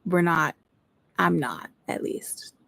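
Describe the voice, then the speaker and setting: A woman's voice: fairly low pitch, expressive, takes it slowly, creaky, a bit bright, dry recording. A woman who is not a native English speaker, chatting casually and thinking aloud during a live reading session at home. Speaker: book streamer. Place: at home, seated in a chair.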